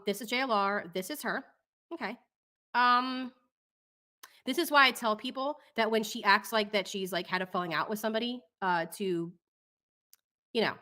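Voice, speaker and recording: A woman's voice: medium pitch, sharp tone. A woman hosting casual commentary over clips, sarcastic and skeptical, drawing out her points. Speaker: commentary channel host.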